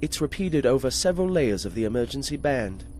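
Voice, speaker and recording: A man voicing a passive-aggressive spaceship artificial intelligence, deadpan and dryly obedient, in a retro radio drama. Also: medium pitch, brisk, faint music behind, natural voice. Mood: matter-of-fact. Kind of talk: 1950s space radio serial acting.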